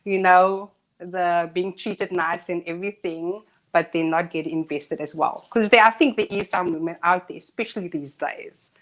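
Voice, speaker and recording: A woman co-hosting a live talk show who talks earnestly and thinks out loud. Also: lowish voice, normal speed, low-quality recording. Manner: earnest, reflective, thinks aloud. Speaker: co-host. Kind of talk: casual discussion on a live show.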